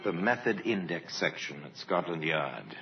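An actor playing a grave narrator of a radio crime drama, telling the story in a deliberate, portentous way. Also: male voice, sits low, sing-song, over loud music, heavily acted. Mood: grave, measured. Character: grave, measured male narrator of true crime tales. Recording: muffled, noisy old radio recording.

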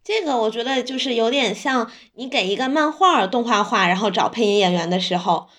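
A woman's voice: fairly high pitch, a little fast, a bit bright. A woman co-hosting a podcast, giving her opinions in casual, colloquial chat. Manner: informal, colloquial. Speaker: podcast host.

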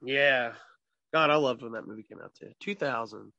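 Bright-voiced man in his thirties. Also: mid-range voice, moderate pace, vocal fry, lo-fi sound. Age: 30s. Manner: loose, swearing, joking. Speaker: podcast co-host. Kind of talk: casual chat.

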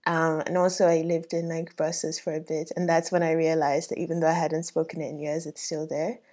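A woman's voice: low-pitched, flat delivery, fairly quick, a little mellow. A woman from Ghana in casual, self-deprecating conversation. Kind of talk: casual chat.

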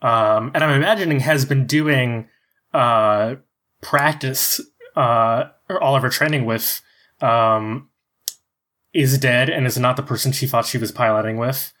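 Slow, hesitant tabletop player. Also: medium pitch, a bit bright. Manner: slow, hesitant, many fillers. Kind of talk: narrating a character in a tabletop game.

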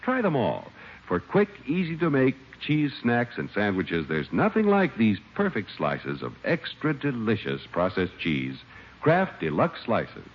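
Animated male 1950s radio announcer. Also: mid-range voice, a little slow, slight background noise. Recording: muffled old radio broadcast with music.

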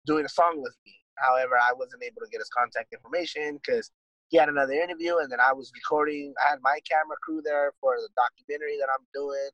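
A man's voice: fairly high pitch, fairly bright tone, dry recording, low-quality recording. A man and musician guest in a casual conversation, talking in a laid-back, rambling way with lots of swearing and "dude". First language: English.

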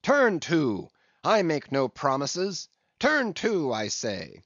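A man's voice: on the high side, huge pitch swings, slow pace, fairly bright tone, dry recording, pitched above the performer's own voice, full character voice. A man voicing a furious ship captain who roars commands, in a dull, dry recording. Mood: roaring, commanding. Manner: roaring, commanding.

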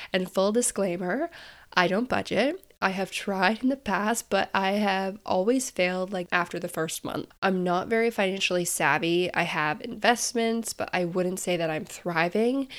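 A young woman hosting a casual podcast, chatty and upbeat with frequent laughs, sounding stuffed up and nasal from a head cold. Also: mid-range voice. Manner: chatty, upbeat, self-deprecating, laughs often. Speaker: podcast host.

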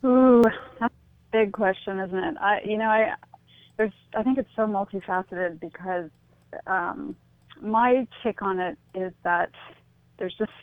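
A middle-aged woman, a guest on a radio show, speaking reflectively with hesitant restarts and soft exclamations over a phone line. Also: mid-range voice, unhurried, no room sound. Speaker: guest, suicide prevention advocate.

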